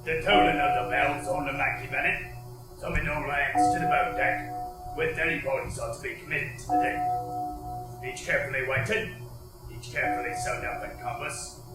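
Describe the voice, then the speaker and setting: An actor playing a solemn witness, delivering a measured, poetic theatrical monologue. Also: male voice, mid-range voice, steady tone, close to an everyday voice. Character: solemn male witness narrating burials at sea. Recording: echoey, distant, loud music behind.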